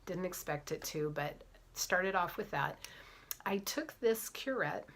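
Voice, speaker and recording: A middle-aged woman with a cold, chatting to camera as she tells a story, often dropping into soft whispered asides. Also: on the low side, lively intonation, a little slow, slight background noise. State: has a cold. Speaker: vlogger, physician assistant and fitness coach.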